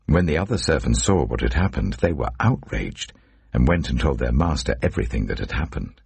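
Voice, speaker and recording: A man reading scripture as a narrator in a solemn, measured, dramatic storytelling delivery. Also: extremely low pitch, expressive, gravelly, a little mellow, husky, no echo. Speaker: Bible narrator.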